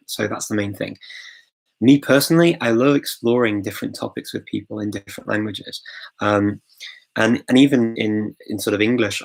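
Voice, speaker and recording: A man's voice: mid-range voice, gravelly, husky, low-quality recording. A man hosting a casual live-stream Q&A, chatty and full of fillers, chuckling often and greeting viewers as they join. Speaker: host of a live language-learning Q&A. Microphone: phone.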